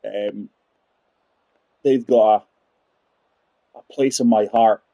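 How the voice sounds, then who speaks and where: A man's voice: on the low side, steady tone, slow, gravelly, warm tone, no room sound, muffled recording. A man in his forties giving chatty live commentary on a golf game, talking straight to his viewers. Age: 40s. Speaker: game streamer.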